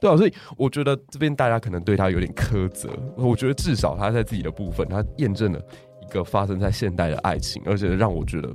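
A man's voice: fairly low pitch, quick pace, faint music behind. A man with a Taiwanese Mandarin accent, a podcast guest telling a history story and asking listeners rhetorical questions. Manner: animated, asks the audience rhetorical questions.

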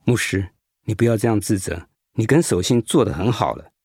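A man acting in a radio drama as a gentle, sympathetic doctor who explains and consoles in a measured way. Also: on the low side, husky, no room sound, clean studio sound. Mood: consoling. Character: gentle male doctor, calm, sympathetic hospital director.

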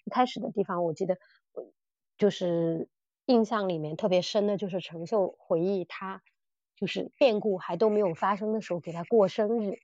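Female podcast host, conversational, mid pitch, halting with repeated words, dry but slightly dull recording. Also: mellow, dry recording. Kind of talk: casual chat reviewing audio dramas.

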